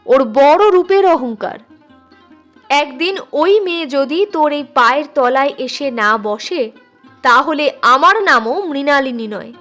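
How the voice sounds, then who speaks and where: A woman storyteller voicing a proud, protective, sharp-tongued elder sister in a literary story, speaking emphatically. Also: high voice, expressive, slow pace, soft background music, heavily acted. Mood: indignant.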